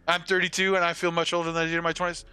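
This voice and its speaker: A man's voice: on the high side, completely flat delivery, racing, bright, forceful tone, smooth, dry recording. A man in his forties chatting casually and rambling with some salty swearing as he streams a game. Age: 40s. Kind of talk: casual live-stream chat with gameplay commentary.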